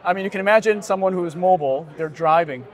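A middle-aged man giving an assured, agreeable and well-structured conversational pitch as an interview guest. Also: fast talker. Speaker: startup founder being interviewed. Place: busy indoor expo hall booth.

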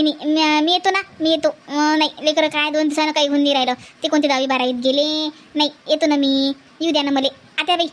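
A woman's voice: high voice, close to an everyday voice. A woman playing a pushy, touchy village daughter-in-law in a comedy cartoon, insistent and repetitive, with a Vidarbha Marathi accent. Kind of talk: exaggerated cartoon family comedy.

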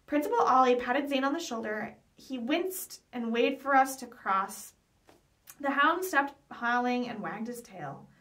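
A woman's voice: on the high side, lively intonation, measured pace, fairly bright tone. A young adult woman reading a children's chapter book aloud expressively, with small stumbles over words. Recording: voice picked up from some distance.

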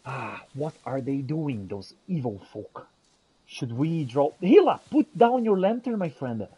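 Guy roleplaying a fantasy game, slow n raspy voice, olde speech mixed with casual gamer talk. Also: on the high side, very animated, dark tone, rough recording. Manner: archaic, theatrical phrasing mixed with casual asides. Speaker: gameplay streamer role-playing. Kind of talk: in-character role-play commentary on a fantasy game.

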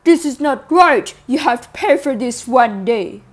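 Angry villagers in a puppet show scold a foolish boy, voiced by a woman in a raised, higher voice, speaking slowly and indignantly. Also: animated.

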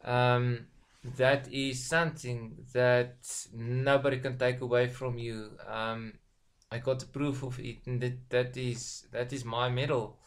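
Halting Afrikaans-accented man on a webcam. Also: mid-range voice, little pitch movement. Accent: South African Afrikaans. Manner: halting, many ums and repeated words. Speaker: online business vlogger. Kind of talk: casual motivational talk to camera. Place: small room at home, indoors. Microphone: webcam at desk distance.

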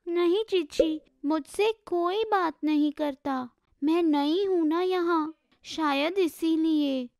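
A woman's voice: extremely high pitch, slow pace, vocal fry, darkish tone, dry recording, clean studio sound, full character voice. A woman voicing a lonely, homesick young schoolgirl in an educational cartoon. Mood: sad. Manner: plaintive, homesick.

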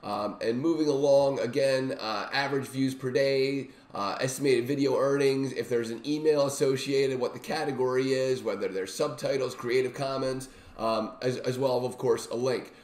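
A middle-aged man with a voice worn by illness gives an informal, enthusiastic software walkthrough as he shares his screen. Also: mid-range voice, steady tone, moderate pace, few pauses. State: sick, recovering from a lost voice. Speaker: software reviewer and demonstrator. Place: small indoor home room. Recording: roomy, echoey home-room sound.

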